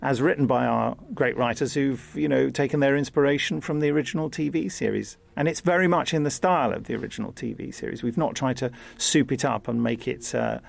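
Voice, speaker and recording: A man gives a casual, explanatory interview. His mid-pitched voice has a pronounced gravelly creak, and he drops in conversational asides as he goes. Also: brisk, hardly any pauses. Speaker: audio drama maker.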